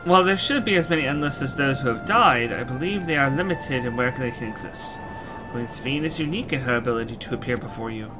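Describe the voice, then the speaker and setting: A man voicing a courteous knight's soul housed in a machine, reading video game dialogue in a formal, measured manner. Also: mid-range voice, animated, close to an everyday voice, low-quality recording. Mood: formal, reflective. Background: game music.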